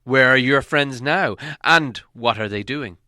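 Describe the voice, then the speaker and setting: A man's voice: medium pitch, unhurried, pushed and bright, dry recording, clean studio sound. A man voicing a stern, angry elven king from a storybook, imperious and demanding as he questions a prisoner.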